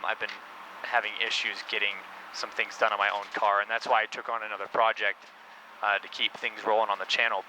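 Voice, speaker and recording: A man with a fairly low, bright, forward voice talks casually, as if hosting a car-build vlog. He explains things informally. Faint vehicle noise runs behind him inside the cabin. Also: poor audio. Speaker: host of a car-build video channel. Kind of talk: casual product-review vlog talk.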